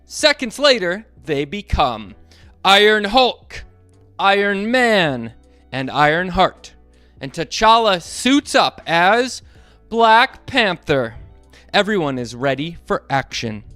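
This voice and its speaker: A man reading a storybook aloud to children in a playful, animated way, asking them to join in. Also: high voice, takes it slowly, clean tone, dry recording, faint music behind.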